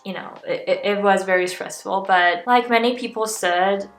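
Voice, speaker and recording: A young woman from Taiwan, a native Mandarin speaker, talks to her camera in a loose, thoughtful way, often restarting her sentences and hedging with fillers. Her voice sits at an ordinary middle pitch. The room gives it a noticeable echo, and soft music plays faintly underneath. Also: moderate pace. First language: Mandarin Chinese. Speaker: graduate student vlogger. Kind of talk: casual talk to camera.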